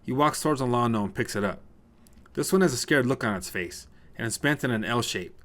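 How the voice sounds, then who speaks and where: A man's voice: medium pitch, lively intonation, fairly quick, a bit bright. A man reading a scripted short story as narrator, told in the present tense.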